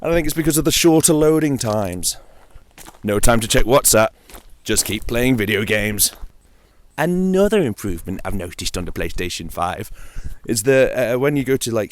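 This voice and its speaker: A man with a fairly low-pitched voice hosts a casual audio log about video games. He talks informally, as if to a friend, and drops in playful, wry asides. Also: animated, normal speed. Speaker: host of a gaming audio log.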